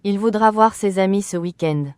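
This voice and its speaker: Smooth, crisp voice reading phrases slowly. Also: medium pitch, dry recording. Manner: even, carefully enunciated, every phrase repeated. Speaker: French phrase reader. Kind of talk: slow, clear phrase reading for learners.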